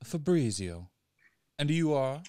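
A man's voice: on the high side, very animated, takes it slowly, crowd noise. A man playing an earnest, smitten suitor in a comedy film parody. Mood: courteous.

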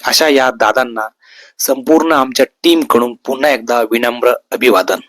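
A man's voice: mid-range voice, moderate pace, fairly bright tone, dry recording. Earnest Maharashtrian man presenting, dry close sound. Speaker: host of a news video channel. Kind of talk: semi-scripted news commentary, tribute to camera.

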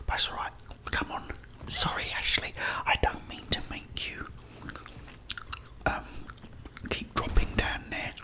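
A man's voice: slow, pauses often. A middle-aged man with a British accent whispers rambling, apologetic ASMR commentary while playing a game. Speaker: game streamer. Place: small indoor room.

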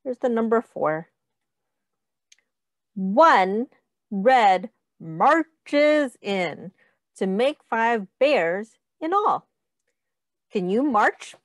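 A woman teacher reading a picture book aloud to young children in a sing-song, deliberate, instructive way, with counting and questions. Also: fairly high pitch, big pitch swings, dry recording, studio quality.

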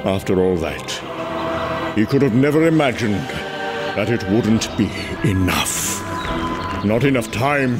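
A man's voice: fairly low pitch, big pitch swings, unhurried, long pauses, over loud music. A man giving dramatic, grave scripted narration as the narrator of a story.

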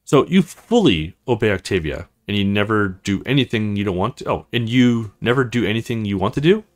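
A man's voice: fairly low pitch, animated, fairly quick, close to an everyday voice. Man reading as earnest, pleading young man. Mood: apologetic. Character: earnest young man stranded far from home.

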